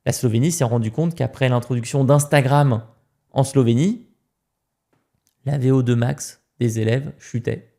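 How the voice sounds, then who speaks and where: A man's voice: mid-range voice, fairly even intonation, measured pace, gravelly, warm tone. A man from France, a podcast guest, talking emphatically in casual conversation and repeating words as he starts a point.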